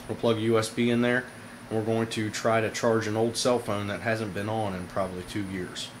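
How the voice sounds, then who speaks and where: Low-voiced man, noisy home recording. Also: little pitch movement, fairly quick. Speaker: product reviewer, video host. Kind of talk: casual hands-on demonstration to viewers. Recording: noisy amateur camera audio.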